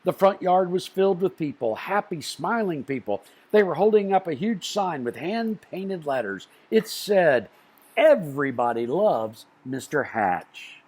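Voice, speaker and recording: A man reading a picture book aloud to children, expressively, with deliberate phrasing and stressed story beats. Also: sits high, big pitch swings, darkish tone, breathy edge.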